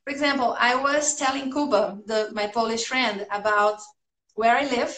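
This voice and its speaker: A woman in her thirties from Brazil, a native Portuguese speaker with a Brazilian accent, chatting casually as an English teacher on a livestream, upbeat and quick to laugh. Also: a little high, a bit bright. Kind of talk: casual livestream chat answering viewers' comments. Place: small room at home, indoors. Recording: echoey small room.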